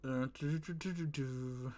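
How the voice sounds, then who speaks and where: A man's voice: mid-range voice, fairly even intonation, a little slow, dark tone. A young man with a Michigan accent chatting casually with viewers as the host of a live stream. He rambles, uses a lot of fillers, and sounds slightly whiny. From Michigan, USA. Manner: rambling, with many fillers and restarts.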